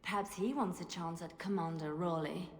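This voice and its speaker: A woman's voice: lowish voice, moderate pace, natural voice. A woman playing a guarded, defiant young female bard in video game dialogue, with pointed questioning. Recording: echoey hall reverb with music.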